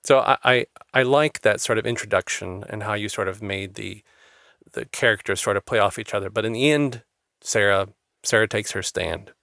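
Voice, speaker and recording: Lower-voiced earnest male interviewer. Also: normal speed, creaky, bright, forceful tone, dry recording, studio quality. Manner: rambling, repeats words, earnest. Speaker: interviewer, host. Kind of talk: conversational interview.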